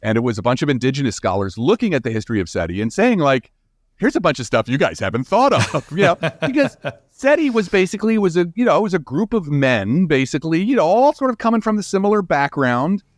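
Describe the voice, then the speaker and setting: A man's voice: on the high side, very animated, quick pace, no echo, studio quality. A man speaking as a guest scientist in a conversational interview, enthusiastic and emphatic, often tagging his points with "right?".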